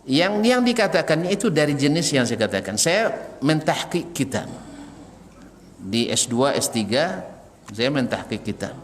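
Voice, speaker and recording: A man colouring preaches in a fairly high voice that rises and drops widely. He teaches like a lecturer before a congregation, posing rhetorical questions and slipping in humorous asides. The sound rings long hall reverb over a light layer of noise. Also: a little slow. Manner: animated, rhetorical questions, chuckles at his own examples. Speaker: Islamic preacher and religious teacher.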